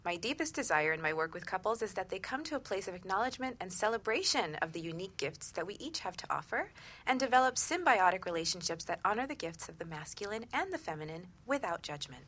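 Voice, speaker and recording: A woman's voice: medium pitch, sing-song, quick pace, a bit bright. A woman hosting a radio talk show in a conversational style.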